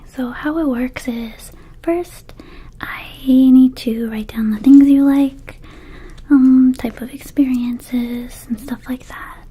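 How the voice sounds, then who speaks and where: Lady doing a scatterbrained vampire shop girl, asmr roleplay, high mellow whisper, casual and rambly. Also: slight background noise. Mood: airy, self-assured. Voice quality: soft, breathy whisper. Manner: hesitant, rambling, slow and casual. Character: friendly scatterbrained young female vampire herb dispensary clerk. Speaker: ASMR performer.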